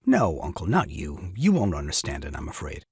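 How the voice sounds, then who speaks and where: An actor playing an excitable, scheming young father and ingratiating nephew in an audio farce, flustered and wheedling. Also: a bass voice, normal speed, slightly rough, dry recording, studio quality, exaggerated voice. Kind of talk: stage farce audio drama acting.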